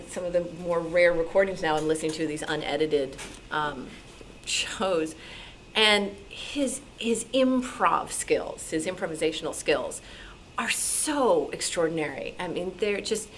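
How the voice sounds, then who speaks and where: A woman in a casual group discussion, thinking aloud thoughtfully with pauses. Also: lowish voice, lively intonation, slight background noise, low-quality recording. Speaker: club discussion participant.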